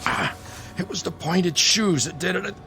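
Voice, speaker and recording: An actor playing a weary, protective tough guy who is gravely injured, weak and in pain, in a radio drama. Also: sits high, unhurried, heavy background noise.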